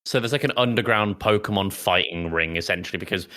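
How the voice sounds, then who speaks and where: A man's voice: sits low, quick pace, sharp tone. A man hosting a casual film chat podcast in a jokey, storytelling manner. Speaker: podcast host.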